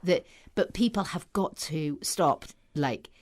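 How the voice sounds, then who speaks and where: A woman's voice: low voice, animated, slow pace, darkish tone. A woman from Yorkshire with a Yorkshire accent, talking earnestly as a guest on a radio talk show, often restarting her sentences. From Yorkshire, England. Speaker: studio guest.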